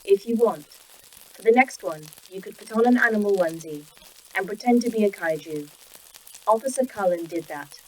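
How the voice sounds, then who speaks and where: A woman voicing a calm female AI that runs a secret facility, speaking in a precise, dry manner in an audio drama. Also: medium pitch, normal speed, lightly acted, poor audio. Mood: cheerful. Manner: precise, dry, oddly proud of hobbies.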